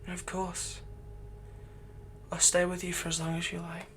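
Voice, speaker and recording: Guy doing a gentle possessive fantasy villain, soft breathy asmr comforting, some background noise. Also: high voice, completely flat delivery, moderate pace. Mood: gentle, reassuring. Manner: soft, soothing, often dropping to a breathy whisper. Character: possessive young male fantasy villain, tenderly soothing his captive. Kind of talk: ASMR roleplay, intimate scripted monologue.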